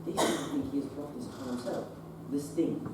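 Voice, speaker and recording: A young woman acting a polite, anxious Victorian male doctor in a stage play, halting and full of fillers. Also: very deep voice, steady tone. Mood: hesitant. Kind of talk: teen stage play performance. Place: theatre stage. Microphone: distant room mic. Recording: echoey stage recording from far off, noisy.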